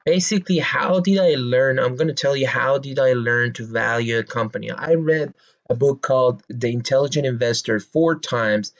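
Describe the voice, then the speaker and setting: A man with a Persian accent explains a stock's fundamentals in English, his second language, at a medium pitch. He talks casually and hesitantly, filling gaps with uh and you know and restarting phrases. The recording sounds dull and lo-fi. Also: moderate pace. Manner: hesitant, many fillers and restarts. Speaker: investing video host. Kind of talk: casual stock analysis talk.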